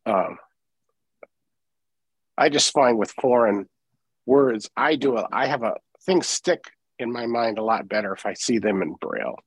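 Halting, thoughtful man in casual discussion. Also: mid-range voice, animated, breathy edge, dry recording. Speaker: book group participant. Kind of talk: casual group discussion, giving opinions.

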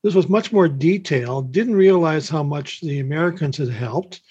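A man's voice: on the high side, normal speed, warm tone, slightly rough. A man from Canada casually sharing his thoughts as a participant in an online group meeting. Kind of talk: casual spoken reflection. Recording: dull lo-fi online call audio.

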